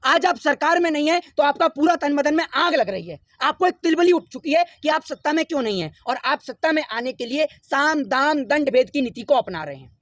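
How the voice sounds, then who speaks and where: A man's voice: extremely high pitch, animated, brisk, few pauses, a bit bright, no room sound. A man arguing with heat and conviction, firing rhetorical questions and lecturing his listener. The recording is dry and close, with no room sound. He keeps driving his points home. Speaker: interviewee. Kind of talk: impassioned political argument.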